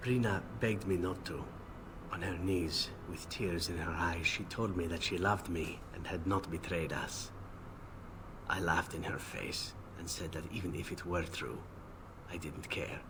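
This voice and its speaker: A man playing a weary, guilt-ridden elven assassin in a video game, speaking in a measured, solemn, confessional way. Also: sits low, background noise. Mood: somber, bitter. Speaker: game companion character. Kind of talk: dramatic video game voice acting.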